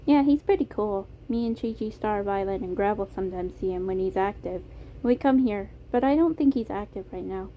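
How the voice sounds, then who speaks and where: A woman's voice: medium pitch, brisk, natural voice. A woman voicing a chatty, curious, slightly wary young boy in a story reading. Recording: tinny phone-like audio, clear noise.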